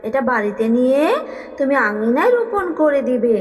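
A woman's voice: high voice, very animated, moderate pace, a little mellow. A woman voicing a kindly, remorseful talking tree in a cartoon, soothing and coaxing. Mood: kindly, promising. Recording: long reverb with music underneath.

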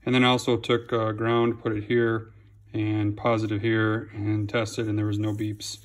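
A low-voiced man talks through a repair in a relaxed, plain way, with the odd um and restart. His pitch barely moves. He sounds close to the phone camera, as if working over a garage bench. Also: normal speed. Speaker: home mechanic. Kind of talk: casual how-to narration. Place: home garage workshop.

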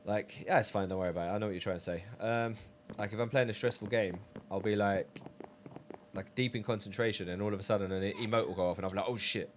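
A man's voice: lowish voice, steady tone, quick pace, a little hiss or hum. A man chatting casually on a game live stream, reading viewer messages aloud.

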